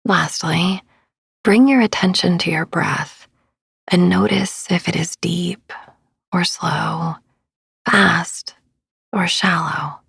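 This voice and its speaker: A woman speaking in a soothing, hushed, often near-whispered voice as she guides a sleep meditation, breathy and husky with vocal fry, pausing gently between phrases. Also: on the low side, dry recording, studio quality. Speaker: podcast host, meditation guide. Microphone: close studio microphone, dry.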